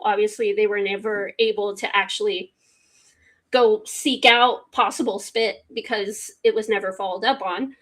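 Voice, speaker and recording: Casting: bright-toned female host, casual, reads legal text then riffs on it. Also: medium pitch. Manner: chatty, reads aloud then comments with swearing asides. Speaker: podcast host. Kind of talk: casual true-crime commentary, reading documents aloud.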